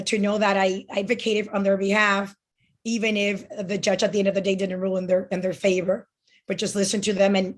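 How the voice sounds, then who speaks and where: A woman in her forties from Miami, giving an earnest campaign speech as a judicial candidate, with frequent ums and restarts. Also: medium pitch.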